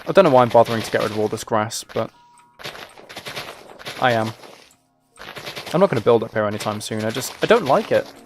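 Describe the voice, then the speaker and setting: A man's voice: mid-range voice, animated, brisk, long pauses, faint music behind. A man chatting casually on a game livestream, rambling and thinking aloud as he plays. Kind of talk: casual chat while playing a game.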